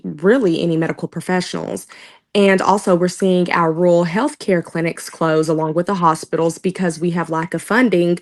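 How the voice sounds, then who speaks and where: A young woman with a Southern accent from the Oklahoma-Texas border answers interview questions in a relaxed, talkative way. Her voice sits low for a woman, and she laughs easily between points. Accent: Texoma Southern US. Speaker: political candidate interviewed. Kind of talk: casual interview.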